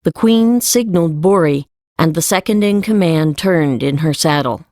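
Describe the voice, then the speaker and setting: Female audiobook narrator, lowish voice, scripted storytelling, fantasy fiction, dry close sound. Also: lively intonation, moderate pace, darkish tone, no echo.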